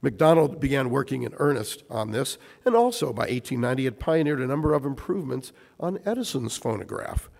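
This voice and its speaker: Croaky man lecturing in a reverberant hall. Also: mid-range voice, big pitch swings, a little fast, hardly any pauses, a little mellow. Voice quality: croaky, recovering voice. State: hoarse, getting over voice loss. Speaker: speaker giving a history presentation. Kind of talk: prepared lecture read aloud to an audience. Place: large echoing room with a presentation screen.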